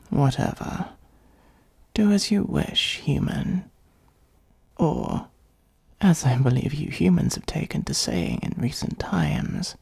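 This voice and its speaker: A performer of unclear sex voicing an ancient, haughty dragon in a comedic fantasy ASMR roleplay, with a breathy, husky, gravelly voice and a sardonic, condescending, musing manner. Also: mid-range voice, mellow, full character voice. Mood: weary, condescending. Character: ancient gold-hoarding dragon, haughty, dryly exasperated.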